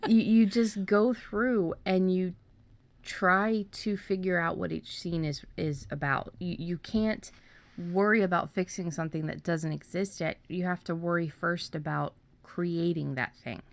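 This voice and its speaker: Low-voiced woman, halting conversational delivery. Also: steady tone, creaky, dry recording. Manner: halting, repeats and stumbles over words. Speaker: podcast co-host. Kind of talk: casual conversational writing advice.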